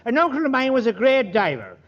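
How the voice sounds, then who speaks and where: A folksy, self-amused bartender in old radio comedy. His voice is high and swoops widely as he relishes his corny gags. The recording is muffled, with an audience behind him. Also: huge pitch swings, brisk, heavily acted. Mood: jovial, self-amused. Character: corny, chatty male bartender who loves his own jokes. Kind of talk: 1940s radio comedy acting. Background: studio audience.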